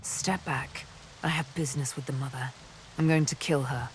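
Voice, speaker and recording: An actress voicing a vengeful, seductive elf assassin in a video game, deliberate and teasing, often murmuring. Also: female voice, a bass voice, fairly even intonation, a little hiss or hum, rough recording. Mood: resolute.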